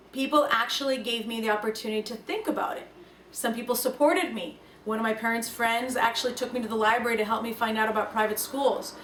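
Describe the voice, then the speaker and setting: A woman's voice: a little high, distant mic. A young woman whose first language is Russian, giving an earnest and reflective talk to camera. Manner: earnest, reflective, lively emphasis. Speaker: language learning speaker. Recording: echoey room, voice from off the mic, faint room noise.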